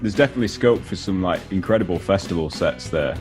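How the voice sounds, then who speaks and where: A man's voice: low-pitched, animated, quick pace, darkish tone. A man interviewing guests in a casual, chatty style. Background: music.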